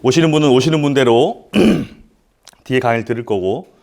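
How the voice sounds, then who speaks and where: A man's voice: mid-range voice, normal speed, a little mellow. A man with a throat cold gives a live seminar lecture in an indoor room with an audience. He talks casually, asks rhetorical questions and uses many "uh" fillers. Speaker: lecturer at a paid business seminar.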